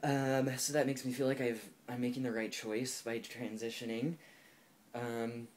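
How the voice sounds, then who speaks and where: A man's voice: mid-range voice, little pitch movement, unhurried, darkish tone. Candid young man rambling through a vlog. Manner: thoughtful and candid, heavy use of "like" and "um". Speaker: video blogger. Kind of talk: casual personal vlog talk.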